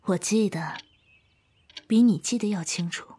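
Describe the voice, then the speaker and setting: A woman acting a protective, mature lover in a modern radio drama, tender, measured and intimate. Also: medium pitch, mellow, lightly acted. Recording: roomy echo, music behind.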